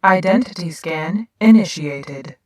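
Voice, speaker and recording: A woman voicing a polite, emotionless building computer, giving formal, measured automated announcements in a sci-fi audio drama. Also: on the low side, lively intonation, darkish tone, recorded from a distance. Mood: neutral, automated.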